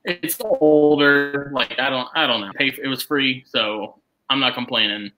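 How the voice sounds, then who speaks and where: A man in his late twenties from the United States, chatting loosely as a podcast co-host. His voice is strikingly bright and forward. He jokes and rambles while telling his stories. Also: mid-range voice, unhurried, faint music behind, rough recording. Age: 20s. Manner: joking, rambling storyteller. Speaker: podcast co-host, snake keeper. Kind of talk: casual podcast banter.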